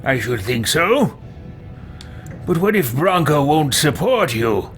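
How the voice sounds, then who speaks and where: A man's voice: on the high side, sing-song, slow, a bit bright, soft background music, voice pushed up, full character voice. A man roleplaying an arrogant, self-serving evil wizard in a video game, with a haughty, musing drawl. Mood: scheming.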